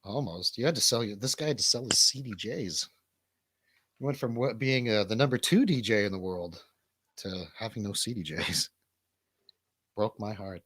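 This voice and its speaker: A man's voice: on the low side, normal speed, long pauses, fairly bright tone, husky, no room sound. A man hosting a casual stream, asking questions and teasing in informal bro slang. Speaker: stream host interviewing a friend.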